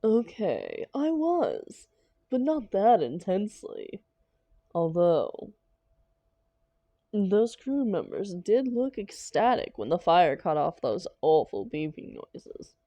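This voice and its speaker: A woman playing a doting, defensive supervillain lover in an intimate roleplay audio drama, coaxing theatrically with endearments and dramatic pauses. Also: mid-range voice, wildly swooping pitch, warm tone, no room sound, heavily acted. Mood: defensive, pleading.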